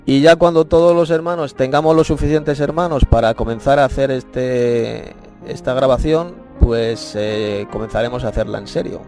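A man with a Spain Spanish accent hosting an online Bible chat room, talking in an unhurried way, often repeating his phrases and calling listeners brothers. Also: mid-range voice, darkish tone, faint music behind. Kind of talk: casual preaching and closing remarks.

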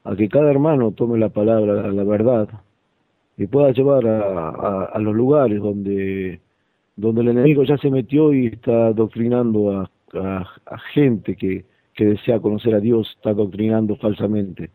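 A man talking reflectively about faith in a halting, searching way over a thin phone-like connection. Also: on the low side, dry recording. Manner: hesitant, halting. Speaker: participant in a religious discussion. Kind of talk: reflective religious talk.

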